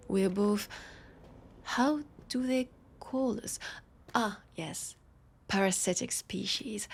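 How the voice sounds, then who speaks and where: A woman playing a teasing, haughty vampire detective with a French accent, in an intimate roleplay audio drama, her dry playful lines spaced with lingering pauses. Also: medium pitch, heavily acted. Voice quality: husky, breathy with creaky edges. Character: teasing, haughty female vampire detective, sardonic new partner.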